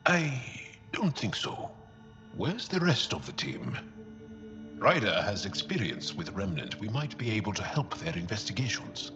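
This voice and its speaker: A confident, diplomatic male alien companion from a sci-fi game. His lowish voice swings expressively and is direct. The audio is slightly dull, with clear music behind. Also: big pitch swings, a little slow. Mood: assured. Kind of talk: cinematic video game acting.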